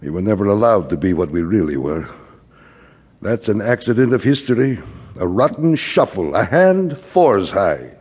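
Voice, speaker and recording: A man's voice: on the low side, very animated, normal speed, a little mellow, husky. An actor playing a wistful, middle-aged immigrant father who philosophizes and repeats himself in an audio drama. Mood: wistful, lamenting. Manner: rhetorical, repetitive, philosophizing. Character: wistful, rambling immigrant father, a frustrated commercial traveler. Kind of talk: theatrical audio drama acting. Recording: reverberant hall stage recording.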